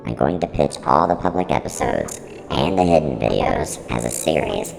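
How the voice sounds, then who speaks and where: Cavernous, growling, snarky service unit. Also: male voice, animated, moderate pace, full character voice. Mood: defensive, flippant. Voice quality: very deep, creaky, heavily reverberant. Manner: blunt, flippant, defensive. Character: snarky, evasive service-unit showrunner from a horror studio. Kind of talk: in-character mock interview. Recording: long reverberant echo, music underneath.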